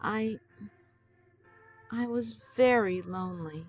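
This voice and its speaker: Older grandmother, unhurried and earnest, faint music bed. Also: medium pitch. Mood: troubled. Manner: gentle, slow, earnest. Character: elderly grandmother, lonely and worried. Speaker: wife. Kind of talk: audio drama acting.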